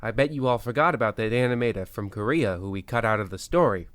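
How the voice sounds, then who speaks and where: A man's voice: on the low side, quick pace, a bit bright, no echo, close to an everyday voice. Man playing a dry-sounding, droll radio host. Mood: droll, mock-solemn. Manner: mock-solemn, rambling sign-off. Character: droll radio horror-anthology host, rambling and offhand. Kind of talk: old-time radio host parody.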